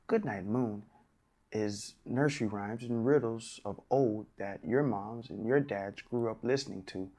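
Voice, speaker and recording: A man hosting a story time, addressing young children like a classroom teacher in clear, simple phrases. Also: on the low side, a little slow, warm tone. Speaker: children's read-aloud host, teacher.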